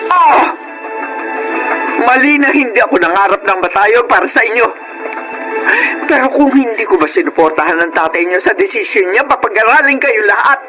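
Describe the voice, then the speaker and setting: A woman's voice: on the high side, animated, normal speed, music in the background, close to an everyday voice, low-quality recording. Plaintive, swooping middle-aged mother played by an actress. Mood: anguished. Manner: plaintive, emotional. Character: hurt, self-pitying mother caring for sick husband. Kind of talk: Filipino television melodrama acting.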